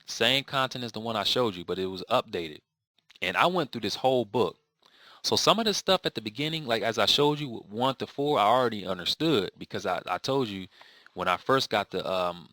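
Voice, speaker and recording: A man's voice: lowish voice, bright, forceful tone, no room sound, clean studio sound. A man answering viewer questions in a casual video lesson, rambling with many fillers and restarts. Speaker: language learning vlogger.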